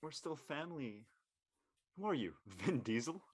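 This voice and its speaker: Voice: medium pitch, sing-song, measured pace, breathy edge. An actor playing a brash, slangy, easily exasperated teenage basketball player in a scripted drama. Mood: exasperated, teasing. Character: cocky teenage boy high school basketball player.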